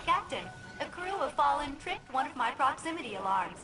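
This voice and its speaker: A woman's voice: sits high, big pitch swings, fairly quick, music in the background, poor audio. An actress playing a grave woman guide in a sci-fi shooter game, asking for help. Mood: grave, urgent. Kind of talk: video game voice acting.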